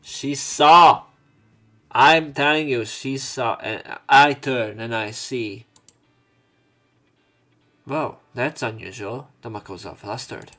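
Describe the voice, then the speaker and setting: A man's voice: mid-range voice, takes it slowly, pauses often, bright, forceful tone. A man hosting a let's play, reading a game's narration aloud and commenting as he goes. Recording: game music behind the voice. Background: video game music.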